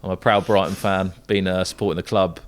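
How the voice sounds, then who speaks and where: A man's voice: low voice, steady tone, a little fast. A young man from the south coast of England with a southern English accent, an esports commentator talking casually as a podcast guest. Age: young adult.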